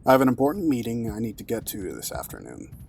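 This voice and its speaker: A man's voice: mid-range voice, wildly swooping pitch, quick pace, creaky, a little mellow, a little hiss or hum. A man playing a stern, authoritative executive in an audio drama, formal and sardonic in manner. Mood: businesslike. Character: cold, curt, authoritative wealthy male executive.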